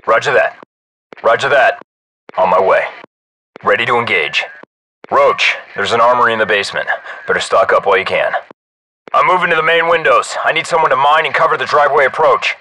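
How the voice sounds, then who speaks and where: A man's voice: mid-range voice, lively intonation, brisk, pauses often, sharp tone, rough recording. A man playing a focused, professional special forces squadmate in a game, giving terse, businesslike callouts. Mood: focused, alert. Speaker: soldier in squad. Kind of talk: military game radio callouts.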